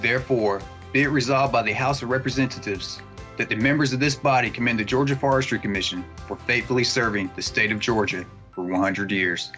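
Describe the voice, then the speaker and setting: A man's voice: medium pitch, brisk, sharp tone, faint music behind. A man in his twenties reading a resolution aloud in formal style. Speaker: forestry employee reading a resolution. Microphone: webcam video call.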